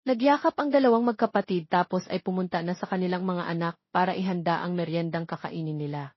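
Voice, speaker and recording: Female Filipino narrator for romance audio fiction; husky voice, dry, slightly dull lo-fi recording. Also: lowish voice, quick pace, dry recording.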